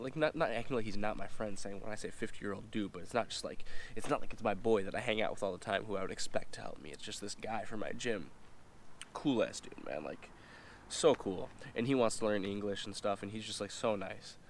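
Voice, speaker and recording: A man's voice: fairly low pitch, a little hiss or hum. Nasal, rambling young man. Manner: rambling, filler-heavy, slangy. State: nasal from a cold. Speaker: vlogger. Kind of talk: casual storytelling vlog. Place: indoors, eating while talking.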